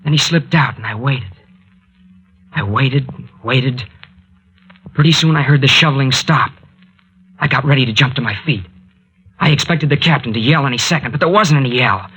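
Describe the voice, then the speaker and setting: An actor playing an earnest, excitable young adventurer in a 1940s radio drama, with a colloquial, solicitous way of talking. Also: male voice, medium pitch, moderate pace, pauses often, husky, lo-fi sound. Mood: tense, urgent. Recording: vintage 1940s radio recording.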